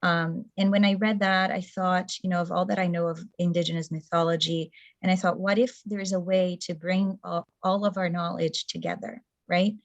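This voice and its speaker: A woman's voice: on the low side, level tone, normal speed, no room sound, muffled recording. A middle-aged woman whose first language is Portuguese gives a thoughtful talk over a video call, with frequent fillers and restarts. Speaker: presenter.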